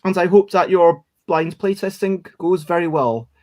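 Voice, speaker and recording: A man's voice: high voice, moderate pace, a little mellow. A man hosting a casual chat show, speaking in a rambling, encouraging way and often correcting himself as he goes. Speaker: host of a daily livestream chat show.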